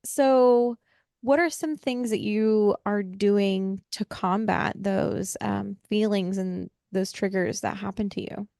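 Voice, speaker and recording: A woman's voice: mid-range voice, steady tone, slow, gravelly, dark tone, dry recording, studio quality. A woman hosting a podcast interview, asking friendly, encouraging questions with frequent fillers. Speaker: podcast host.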